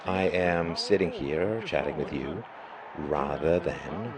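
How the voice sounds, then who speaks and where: Man, middle-aged, very low voice, hoarse, emphatic lecturing monologue, crowd noise behind. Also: takes it slowly. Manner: emphatic, lecturing, with rising bursts of stress. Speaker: live stream host. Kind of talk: monologue commentary.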